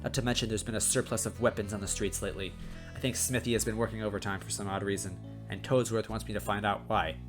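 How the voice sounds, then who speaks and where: A man's voice: fairly low pitch, fairly even intonation, fast talker, music in the background, close to an everyday voice. A man voicing a tired, matter-of-fact female police chief with a stern manner in an amateur story reading. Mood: weary, firm. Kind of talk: amateur story read-aloud.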